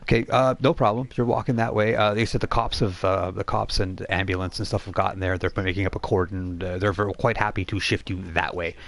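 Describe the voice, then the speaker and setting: A man's voice: low-pitched, fairly even intonation, a little fast, hardly any pauses. A man narrating a tabletop role-playing game off the cuff as game master, casual and conversational.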